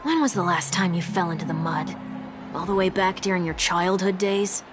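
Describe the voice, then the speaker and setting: A woman's voice: fairly low pitch, normal speed, natural voice. A woman voicing a wry young heroine. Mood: curious. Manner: wry, questioning. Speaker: player character. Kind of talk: game voice acting. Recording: game audio with loud music.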